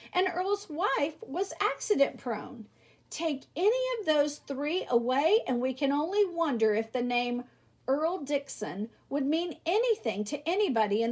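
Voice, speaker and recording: A woman reading a children's book aloud expressively and emphatically, speaking directly to young listeners. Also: high-pitched. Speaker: storybook reader.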